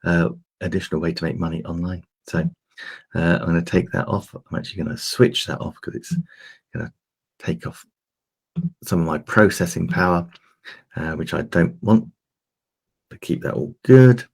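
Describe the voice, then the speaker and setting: Deep gravelly man, casual demo talk. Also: normal speed, long pauses. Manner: loose and chatty, many fillers and restarts. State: slightly croaky voice. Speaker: host demonstrating streaming gear. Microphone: desk microphone.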